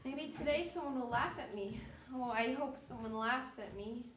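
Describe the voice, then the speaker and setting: A woman's voice: a little high, moderate pace, close to an everyday voice. A woman playing a lonely, despairing harlequin in an amateur stage monologue, theatrical and musing. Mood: desperate. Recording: echoey stage with faint noise.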